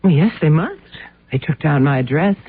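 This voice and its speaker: A woman's voice: sits low, lively intonation, normal speed, breathy edge, heavily acted. An actress playing an evasive, defensive housewife under questioning in a radio drama, hesitant and guarded in her delivery. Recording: muffled narrow-band old radio sound.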